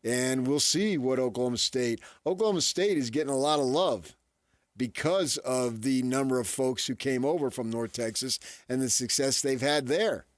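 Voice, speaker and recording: A man with a medium-pitched voice talks sports casually as a radio co-host. He sounds close to the microphone. The studio is dry, with no room sound. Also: normal speed, dry recording. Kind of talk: casual sports talk banter.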